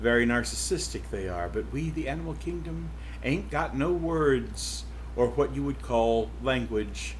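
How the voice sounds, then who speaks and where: A man's voice: medium pitch, animated, a little slow, few pauses, natural voice. Guy reading as a chatty wry cow, rambles off topic, faint hiss behind. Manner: wry, digressive storytelling. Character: chatty witty cow narrator, female. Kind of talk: reading a novel aloud.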